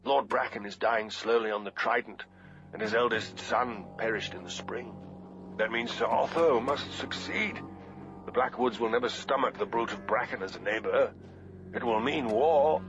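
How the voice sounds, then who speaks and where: A man's voice: medium pitch, normal speed, natural voice. A man voicing a worldly, gossiping septon who drinks, grave and scornful, in an emphatic, archaic audiobook reading. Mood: grave, foreboding. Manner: theatrical, emphatic, archaic phrasing. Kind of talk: dramatic audiobook character reading. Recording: dull lo-fi audio with music behind.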